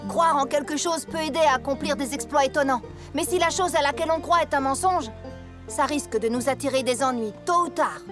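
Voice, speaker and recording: An earnest young farm mare in a children's cartoon, voiced by a woman. She speaks sincerely and emphatically in a high register, over clearly audible music. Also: fairly quick, lightly acted. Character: honest, earnest young female farm pony. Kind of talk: French-dubbed children's cartoon acting.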